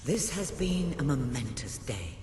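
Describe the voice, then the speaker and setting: A man's voice: mid-range voice, big pitch swings, measured pace, reverberant, very noisy. A man playing a solemn advisor in a video game, speaking in a grave, formal, portentous way. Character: solemn male advisor heralding a revolution. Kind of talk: video game cutscene acting.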